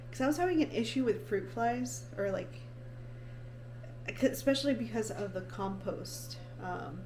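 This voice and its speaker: A woman's voice: mid-range voice, a little mellow, roomy sound, a little hiss or hum, low-quality recording. A young woman vlogging from her apartment, congested from allergies, rambling casually with lots of "like" and "um". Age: young adult. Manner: rambling, full of "like" and "um". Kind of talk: casual vlog chat. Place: apartment at home.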